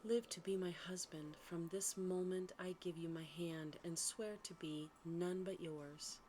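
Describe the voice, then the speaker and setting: A woman voicing a tender, devoted young storybook heroine in a hushed, whispery, earnest manner. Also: on the low side, steady tone, measured pace, slight background noise, lightly acted. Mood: tender, pleading.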